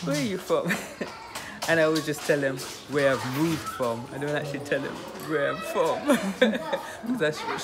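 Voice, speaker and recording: A middle-aged woman from Jamaica, a dance teacher talking in a relaxed, chatty way and laughing often, recorded outdoors. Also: deep voice, very noisy. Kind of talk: casual interview talk. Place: outdoors on a hill. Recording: music playing underneath. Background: music.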